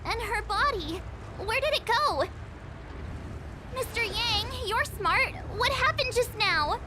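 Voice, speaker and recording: A woman's voice: very high-pitched, expressive, slow, background noise, full character voice. A woman acting a perky, earnest teenage girl adventurer in a video game, anxious and full of questions. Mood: confused, worried. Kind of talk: scripted video game dialogue.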